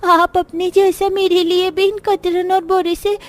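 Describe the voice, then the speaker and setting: A poor little village child in a cartoon story. The voice is extremely high and slow, with pleading, drawn-out words as the child shivers. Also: female voice, little pitch movement.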